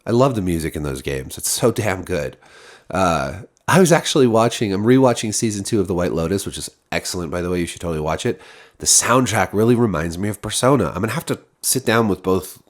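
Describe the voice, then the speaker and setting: A man's voice: low voice, expressive, a little fast. A man with a stuffy, nasal voice, musing aloud about games in a rambling, casual way to his viewers. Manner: rambling, many restarts and fillers. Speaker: video creator. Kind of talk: casual unscripted musing to camera.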